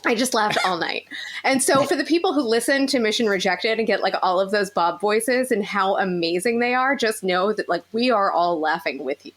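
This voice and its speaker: A woman's voice: medium pitch, lively intonation, normal speed. A woman chatting casually in a group interview, giggly and talkative. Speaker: voice actor, interviewed cast member.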